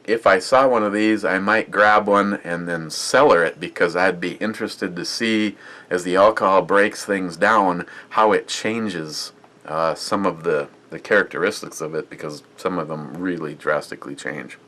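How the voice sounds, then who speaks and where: A man's voice: deep voice, normal speed, pushed and bright. A man casually reviewing a beer on his vlog, rambling and chatty with lots of fillers and restarts.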